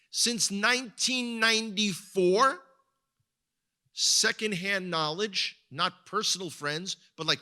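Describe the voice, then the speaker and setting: Bright-voiced man with an Israeli accent. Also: high voice. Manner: conversational, repeats words when searching for them. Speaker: guest speaker at a congregation. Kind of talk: sermon-style talk.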